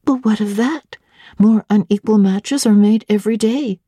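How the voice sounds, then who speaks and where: A woman's voice: medium pitch, normal speed, mellow, husky, dry recording, pitched above the performer's own voice, natural voice. A woman voicing an earnest young governess in a classic audiobook, politely inquisitive and eager with her questions. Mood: eager, probing. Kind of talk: dramatic audiobook reading of classic literature.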